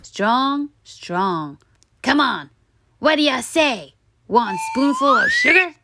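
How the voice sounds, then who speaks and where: Storybook talking sweet tooth, played by a woman: high, swooping, bossy, wheedling, greedy and melodramatic. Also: big pitch swings, slow pace, very noisy, full character voice. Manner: whiny pleading and bossy scolding.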